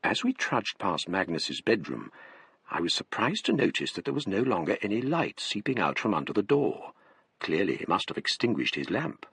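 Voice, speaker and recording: An actor playing a grave gentleman narrator with an English accent, telling a gothic tale in literary, dramatic style for radio. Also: low voice, very animated, moderate pace, creaky, slightly rough, no echo, exaggerated voice. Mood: reflective.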